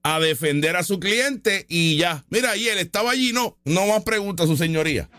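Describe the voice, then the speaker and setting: Brief: Puerto Rican male commentator, high, bright voice, excitable and mocking, dry close mic. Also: normal speed, no echo. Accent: Puerto Rican Spanish. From Puerto Rico. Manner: excitable, mocking, repeats himself. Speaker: online commentator reacting to a trial stream. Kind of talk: casual live commentary.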